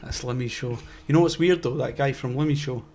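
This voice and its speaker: A young man chatting loosely on a podcast. He rambles and doubles back on himself, adding wry side comments. His pitch sits in the middle, with faint noise behind him. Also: brisk, a little mellow. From Scotland. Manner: rambling, self-interrupting and wry. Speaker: podcast co-host. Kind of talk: casual chat.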